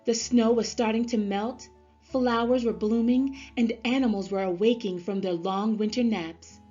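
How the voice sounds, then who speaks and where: A woman narrating a children's story aloud in an expressive storybook-reader style. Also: fairly high pitch, normal speed, breathy edge. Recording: slightly dull sound, music underneath. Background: music.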